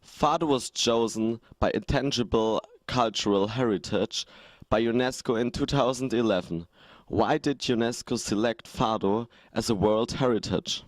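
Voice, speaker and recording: A man's voice: medium pitch, moderate pace, no room sound. A young man from Germany asking prepared questions in an outdoor student interview, reading plainly. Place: outdoors in front of a museum.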